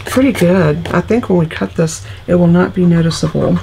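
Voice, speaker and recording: A middle-aged woman with a congested, stuffy voice walks through card-making in a chatty, homey way. Her voice sits low and mellow. A faint hiss stays under it. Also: normal speed, vocal fry, poor audio. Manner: chatty, homey, talks through each step. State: congested, recovering from illness. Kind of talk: casual craft tutorial.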